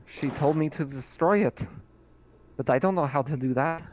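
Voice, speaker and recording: A man running a tabletop roleplaying game as game master, describing scenes in a relaxed way with many fillers. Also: a little high, moderate pace, a little hiss or hum.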